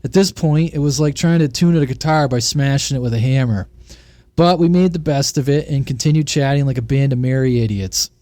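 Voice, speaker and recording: A man's voice: mid-range voice, brisk, dark tone, no room sound. A man narrating a scripted comic short story in a folksy, playful way. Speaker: narrator of CB radio short stories.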